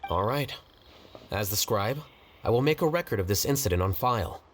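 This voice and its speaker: An actor playing a cool, blunt scribe in a video game, speaking in a measured, dry, formal way. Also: male voice, on the low side, expressive, a bit bright, a little hiss or hum. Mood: formal, detached. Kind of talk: English video game voice acting.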